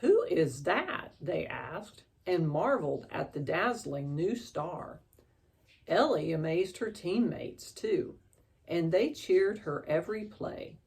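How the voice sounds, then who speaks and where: A woman reading a children's storybook aloud as an expressive storyteller. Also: on the low side, slow, gravelly.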